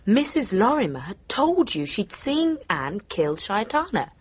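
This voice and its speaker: A woman's voice: mid-range voice, sing-song, a little slow, breathy edge. An actress playing an inquisitive, eager woman, a detective's companion, in a radio drama. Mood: curious.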